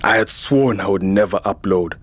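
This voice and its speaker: A man's voice: lowish voice, normal speed, natural voice. A man playing a jaded, vain warrior and security chief, narrating a science fiction audio drama with sardonic weariness. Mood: disdainful. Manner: sardonic, world-weary. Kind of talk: first-person scripted sci-fi narration.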